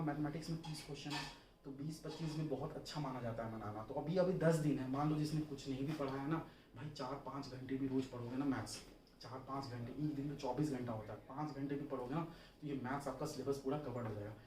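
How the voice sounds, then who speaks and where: A man's voice: medium pitch, fairly even intonation, fast, a little mellow. A young man giving an informal exam strategy lesson, instructive and colloquial, repeating points for emphasis. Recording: roomy, distant mic, faint noise.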